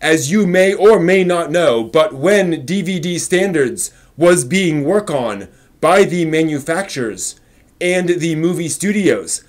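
A middle-aged man giving a theatrical dramatic reading, with impassioned, overwrought emphasis and drawn-out words. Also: fairly high pitch, expressive. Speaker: host reading an old forum post aloud. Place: small home room with shelves, indoors.

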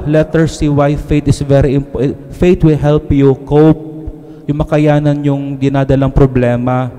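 A middle-aged priest with a Filipino accent preaches a homily from a lectern microphone, slipping easily between Tagalog and English. His tone is mellow and dark. The sound carries the long reverb of a church sanctuary. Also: mid-range voice.

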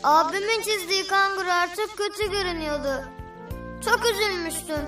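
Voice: extremely high pitch, moderate pace, music in the background. A performer voicing a curious, affectionate little boy in a children's cartoon, sweet and eager in manner. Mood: storytelling. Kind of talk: children's cartoon dialogue and first-person narration.

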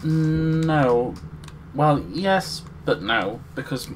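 A man's voice: fairly high pitch, lively intonation, very slow, slight background noise, poor audio. A Scottish-born man with an English accent co-hosting a let's play, teasing with dry jokes. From Scotland. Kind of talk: casual gameplay commentary.

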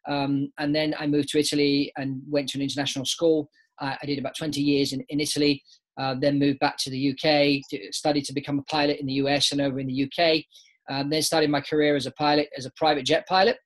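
A man's voice: a little high, flat delivery, fast, poor audio. British man chatting on a video call. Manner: talks with his hands, repeats words as he restarts. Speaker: guest, aviation business founder. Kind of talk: conversational interview on a video call. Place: home office with bookshelves. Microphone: laptop webcam on a video call.

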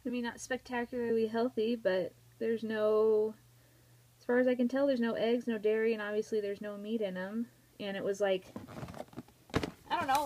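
A woman in her thirties with an American accent, chatting casually and rambling a little as she vlogs. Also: mid-range voice. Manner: informal, conversational, rambling. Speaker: vlogger. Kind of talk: casual vlog chat. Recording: clearly noisy phone video sound.

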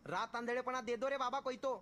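A man's voice: very high voice, fairly even intonation, brisk, bright, forceful tone, slight background noise. A brash, street-smart gamer from a game voice pack. He throws out battle callouts in a Mumbai accent, with swaggering, exaggerated slang. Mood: brash, urgent. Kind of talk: game voice pack one-liners.

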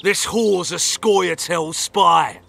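High, gravelly hot-headed soldier man. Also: extremely slow, pushed and bright, soft background music, full character voice. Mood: angry. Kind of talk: video game voice acting.

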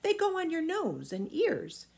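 A woman's voice: extremely high pitch, wildly swooping pitch, measured pace, gravelly, a little mellow, pitched above the performer's own voice, full character voice. A woman voicing a polite, plucky young boy in a storybook, with a light, earnest, explanatory manner. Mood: helpful. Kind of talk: storybook character voice.

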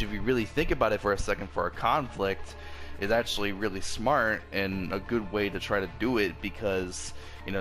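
A man with a very deep voice and a sore throat talks through an episode review. He rambles casually, stumbling over restarts and fillers, while soft background music plays underneath. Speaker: anime reviewer.

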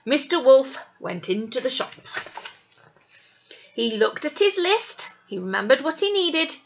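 Animated, high-voiced woman reading to children. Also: measured pace, gravelly, lo-fi sound, a little hiss or hum. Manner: expressive, coaxing with questions to listeners. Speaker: teacher reading a picture book. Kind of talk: animated storytime read-aloud for young children. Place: small classroom, indoors.

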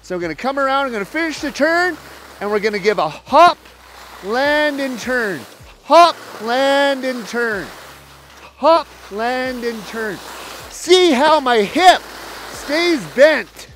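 Male ski coach, high-pitched, clear instructional delivery, explains drills in stages, recorded outdoors. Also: lively intonation, takes it slowly, fairly bright tone, slight background noise. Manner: explanatory, step-by-step. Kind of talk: instructional demonstration to camera. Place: outdoors on an open snowy mountain ski slope.

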